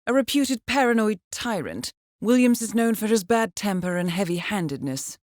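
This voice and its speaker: A woman voicing a composed middle-aged intelligence handler in a video game, measured and formal with dry understatement. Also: mid-range voice, very animated, a little mellow, no room sound, natural voice. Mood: measured, businesslike. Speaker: mission handler. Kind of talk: scripted game voice acting, mission briefing.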